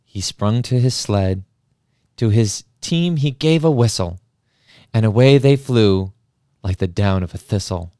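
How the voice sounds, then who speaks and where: A man's voice: on the low side, expressive, a little slow, dark tone, no room sound, clean studio sound. A man who hosts a story-reading show, moving between dramatic reading and casual talk.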